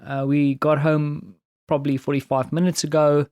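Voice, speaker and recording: A tired man with a South African accent and Afrikaans as his first language, talking in a casual, reflective, halting solo audio diary. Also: fairly high pitch, flat delivery, a little mellow, smooth, no echo, studio quality. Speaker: podcast host recounting his day.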